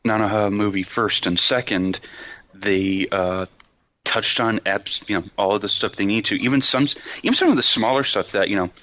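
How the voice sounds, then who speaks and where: A man's voice: lowish voice, moderate pace, sharp tone, no echo, lo-fi sound. A man talking casually and emphatically as a podcast host, explaining things with colloquial enthusiasm. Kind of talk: casual anime discussion.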